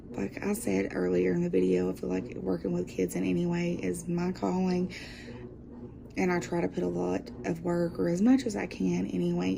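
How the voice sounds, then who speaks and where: A woman with a very gravelly, creaky voice sitting fairly low, chatting away in a loose, rambling vlog style. Her tone is dark and mellow, with a faint hiss under the phone-recorded audio. She sounds upbeat and pokes fun at herself. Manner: rambling, upbeat, self-deprecating, with frequent laughs. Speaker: guest vlogger taking over a sister's channel. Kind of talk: casual chatty vlog talk.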